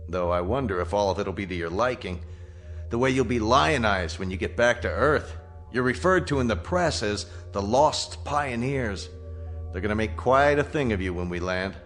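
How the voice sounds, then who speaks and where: A measured, courteous space colonel. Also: male voice, on the low side, animated, fairly bright tone, soft background music. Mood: genial. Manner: measured, polite, probing. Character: courteous, reasonable military colonel commanding a rescue spaceship. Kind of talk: radio drama acting, conversational.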